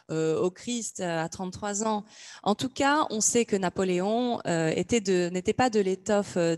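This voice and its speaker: A woman interpreting aloud in a measured way, pausing between phrases as she renders them. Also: fairly low pitch, a little mellow, dry recording, clean studio sound. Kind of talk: consecutive interpreting, unscripted.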